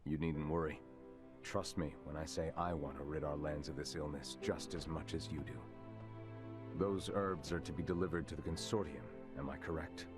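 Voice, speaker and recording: A man's voice: rumbling low, steady tone. An actor playing a composed, formal male military commander in a video game cutscene. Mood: calm. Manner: formal, measured, courteous. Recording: game cutscene with music behind.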